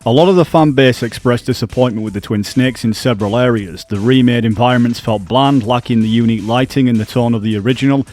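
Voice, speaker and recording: A man narrating a scripted video essay about games as a YouTube commentator, explaining in an earnest, measured way. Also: mid-range voice, darkish tone.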